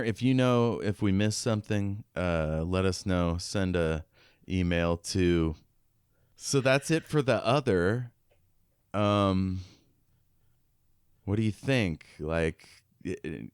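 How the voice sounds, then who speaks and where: A man's voice: low-pitched, lively intonation, takes it slowly, smooth, no echo, clean studio sound. A man co-hosting a podcast, casually musing over a book and posing questions. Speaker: podcast co-host.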